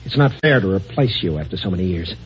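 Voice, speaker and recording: A man's voice: low voice, expressive, fast, slight background noise. A hesitant, nervous man. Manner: hesitant, stumbling. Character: nervous, fearful man saying goodbye. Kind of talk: 1950s radio acting. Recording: dull old radio broadcast.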